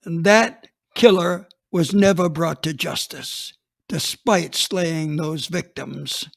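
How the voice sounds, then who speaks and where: A man's voice: fairly high pitch, takes it slowly, gravelly. A man reading a dramatized first-person story as a police chief, plainspoken and matter-of-fact.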